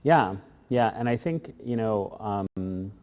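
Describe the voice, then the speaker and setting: Low, mellow-voiced man in an echoing gallery. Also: lively intonation, takes it slowly, slight background noise. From grew up in Germany, Korea and the United States. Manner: thoughtful, rambling with fillers and restarts. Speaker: interviewed artist. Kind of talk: casual interview answers.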